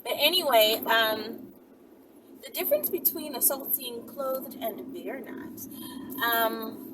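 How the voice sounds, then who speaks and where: A woman chatting casually and unscripted to her viewers, with a drawn-out, hesitant way of talking. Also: on the high side, lively intonation, distant mic, background noise. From Bahamas. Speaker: vlogger answering viewer questions. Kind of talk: casual, unscripted question-and-answer chat to viewers.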